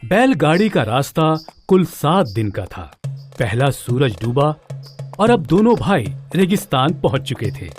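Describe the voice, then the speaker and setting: A man's voice: fairly high pitch, sing-song, unhurried, faint music behind. A man narrating a scripted children's story as its storyteller.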